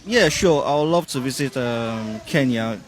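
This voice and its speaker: Measured man explaining outdoors. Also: medium pitch, lively intonation. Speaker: street interviewee.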